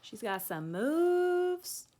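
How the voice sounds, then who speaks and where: Unhurried woman reading a children's story aloud. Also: sits high, slight background noise. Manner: animated, conversational, explains characters' feelings to young listeners. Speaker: teacher reading a picture book aloud to children. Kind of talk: expressive children's read-aloud with casual explanatory asides.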